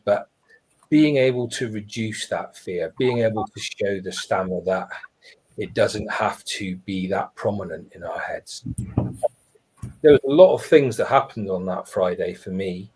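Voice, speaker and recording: A man telling a personal story on a live webinar, reflective and earnest, pausing and restarting as he goes. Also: on the low side, darkish tone, husky, poor audio. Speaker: webinar panelist.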